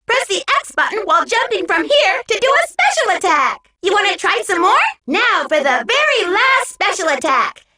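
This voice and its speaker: A woman's voice: very high-pitched, big pitch swings, moderate pace, hardly any pauses, sharp tone, exaggerated voice. A woman voicing a small, cheerful, childlike robot helper who gives eager, encouraging tutorial instructions in a video game. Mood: cheerful, instructive. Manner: peppy, encouraging, clearly instructive.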